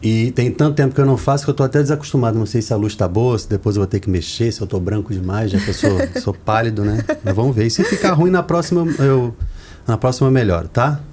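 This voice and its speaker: A man's voice: mid-range voice, fast, a little mellow. A Brazilian man hosting a podcast in casual, conversational interview style. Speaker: podcast host. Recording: clear background noise, room ambience.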